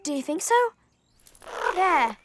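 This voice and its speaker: A performer voicing an excitable little boy rabbit in a children's cartoon, childlike, exclamatory and playful. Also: sits high, slow. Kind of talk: children's animated cartoon voice acting. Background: children's music.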